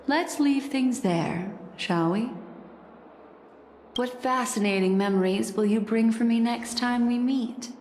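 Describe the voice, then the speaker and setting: A woman's voice: on the high side, slight background noise. Enigmatic woman, voice echoing. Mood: playful, tender. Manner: measured, polite, softly playful. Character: enigmatic, composed, gently teasing woman who keeps memories. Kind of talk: video game cutscene acting. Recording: echoey reverberant voice under clear music.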